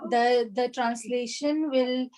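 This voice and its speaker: A woman's voice: a little high, fairly even intonation, slow, darkish tone. Patient woman teaching Arabic grammar. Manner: patient, explains step by step with frequent fillers. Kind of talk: online class review.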